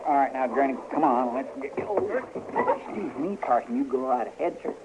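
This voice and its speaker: A man's voice: medium pitch, animated, a little fast, close to an everyday voice. A man playing a fussy, complaining lawman's sidekick with a rural Western American accent, folksy and whiny, in a radio western. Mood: fussing. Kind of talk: old-time radio western acting. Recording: dull, very noisy old radio recording.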